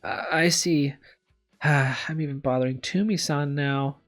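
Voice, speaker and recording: A man's voice: on the high side, steady tone, a little slow, voice pushed up, close to an everyday voice. A man voicing an anxious, self-doubting young woman teacher sick in bed in a visual novel, hesitant and self-pitying, with music behind. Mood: flustered. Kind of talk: visual novel read aloud. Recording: background music under the voice.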